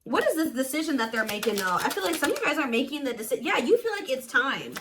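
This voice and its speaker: Higher-voiced woman giving a tarot reading. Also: sing-song, quick pace, noisy background. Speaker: tarot reader, host. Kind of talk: casual intuitive tarot reading addressed to viewers.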